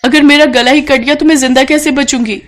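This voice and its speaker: A woman voicing a panicked, frantic young daughter-in-law in a cartoon moral story, with shrill, squawky outbursts. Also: fairly even intonation, fairly quick, a bit bright. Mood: alarmed.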